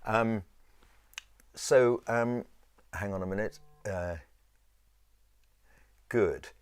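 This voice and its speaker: A man with a low voice teaching a choir in an informal, rambling and halting conversational way. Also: clear, resonant tone, no room sound. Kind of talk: informal instructional talk with sung demonstrations.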